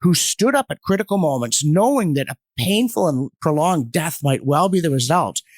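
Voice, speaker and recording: A man's voice: on the high side, lively intonation, moderate pace, no room sound. A man telling history in a podcast discussion, earnest and emphatic, digressing and acting out quotes as he goes. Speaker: guest commentator on history. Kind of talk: animated storytelling in a podcast discussion.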